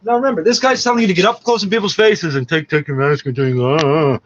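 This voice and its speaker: A man's voice: fairly high pitch, steady tone, quick pace, fairly bright tone. A man ranting off the cuff in a combative, scornful, profane way as he comments on a broadcast. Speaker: commentator reacting to a radio show. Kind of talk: off-the-cuff rant over a played broadcast. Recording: muffled, faintly noisy lo-fi recording.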